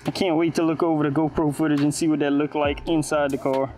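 A man's voice: on the high side, little pitch movement, quick pace, darkish tone, slight background noise. A man from the United States, giving casual vlog commentary as a hobbyist. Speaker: hobby RC car vlogger.

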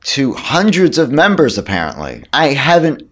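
A man in his thirties with a hoarse voice, talking casually to camera as a vlogger and dropping dry, sarcastic asides. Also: mid-range voice, huge pitch swings, normal speed, fairly bright tone. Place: indoors in front of a brick wall.

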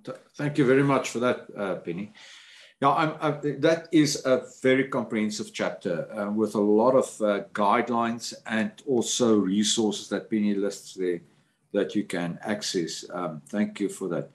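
A lowish male voice moderating a panel on a video call. He speaks in a halting way, restarting phrases and filling gaps with "uh" and "um" as he builds up to his question. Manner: halting, with many fillers and repeated words. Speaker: moderator of a book launch panel.

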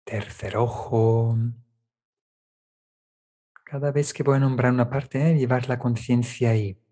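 A man leading a guided meditation, giving calm step-by-step instructions with small checking tags in a gentle manner. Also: mid-range voice, measured pace. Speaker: meditation teacher.

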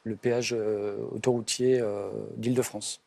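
Hesitant man in his forties, mellow voice. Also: on the low side, little pitch movement, unhurried, creaky. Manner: hesitant, with many fillers before answers. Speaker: quiz contestant. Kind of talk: answering quiz questions. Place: TV studio set.

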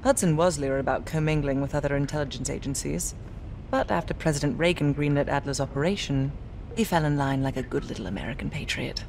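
An actress playing a poised, sardonic British intelligence agent in a video game, articulate and measured, with a dry manner. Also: female voice, low voice, darkish tone, a little hiss or hum. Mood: dry, businesslike. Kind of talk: scripted video game cutscene acting.

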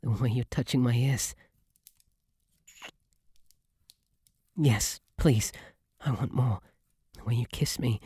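A man with a British accent voicing a shy, devoted, submissive bunny butler in an intimate ASMR roleplay, soft, breathy, halting and deferential. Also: mid-range voice, dry recording. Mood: pleading, yearning.

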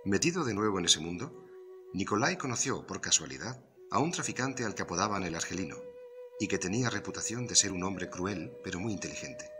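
Guy with a low deep voice reading out a radio drama story, music under it. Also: expressive, a little fast. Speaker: narrator. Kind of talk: scripted narration of an audio drama novel.

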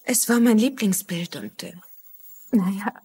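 An actress playing a sad, guarded young daughter in a dubbed TV crime drama, with a husky voice. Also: female voice, mid-range voice, animated, unhurried, dark tone, slight background noise, close to an everyday voice. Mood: subdued, wistful.

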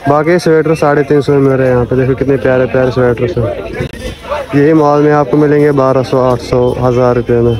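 A man's voice: medium pitch, steady tone, quick pace, over music, poor audio. A young man vlogging as he walks through a crowded outdoor street market, chatting to viewers about prices, recorded on a handheld camera at arm's length. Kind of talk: walking market tour vlog. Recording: loud crowd noise around. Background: loud market crowd.

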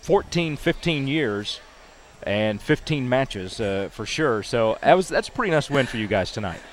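A man's voice: mid-range voice, expressive, moderate pace. An older man hosting a postgame interview on a headset mic, chatty and full of stats. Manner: chatty, stat-heavy, upbeat delivery. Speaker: sports broadcaster.

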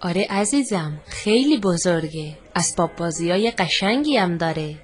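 A woman voicing a gentle, loving mother who speaks softly and patiently. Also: fairly low pitch, lively intonation, faint music behind, natural voice. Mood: tender. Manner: soft, tender, patient.

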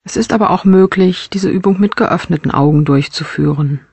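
A woman narrating a guided body scan meditation as a mindfulness teacher, with measured, gentle instructional phrasing. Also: on the low side, breathy edge, no echo.

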